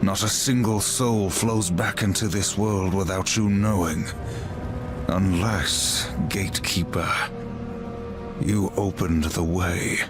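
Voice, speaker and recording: A man's voice: low-pitched, steady tone, takes it slowly. An actor playing a stern, brooding horseman warrior in a video game, terse and commanding. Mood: accusing, resolute. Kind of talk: video game cutscene acting. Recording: music behind the voice.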